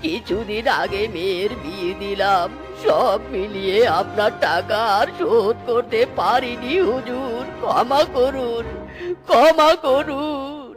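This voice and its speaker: A performer voicing a frail, humble old grandfather animal in a melodramatic cartoon, plaintive and drawn-out. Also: mid-range voice, over music, natural voice. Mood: pleading, pained.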